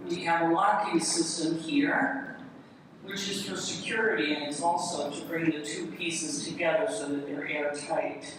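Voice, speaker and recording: Live demonstration talk, explanatory presenter, far from the mic, clearly noisy recording. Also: mid-range voice, a bit bright. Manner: explanatory, defining each part as it is shown. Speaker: presenter, window restorer. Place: large echoey room in a city hall. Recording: echoey hall, distant, noisy live event recording.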